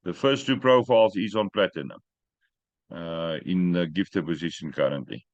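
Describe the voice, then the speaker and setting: A man hosts an online group call in English, a language he did not grow up with. He talks bluntly and plainly, sometimes stumbling over words. The sound is muffled and dry, with no room echo. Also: sits low. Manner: direct, plain-spoken, halting. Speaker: host. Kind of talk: casual group call chat.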